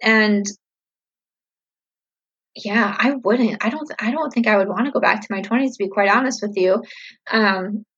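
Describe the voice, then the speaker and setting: A woman in her thirties talks casually to her viewers, upbeat and reflective, with lots of "like" and "you know". Her voice is a little husky and sits mid-range. The recording sounds slightly dull and lo-fi. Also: mid-range voice, normal speed, long pauses, fairly bright tone. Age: 30s. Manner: upbeat, chatty, reflective with many fillers. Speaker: vlogger answering viewer questions. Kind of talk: casual Q&A chat to camera.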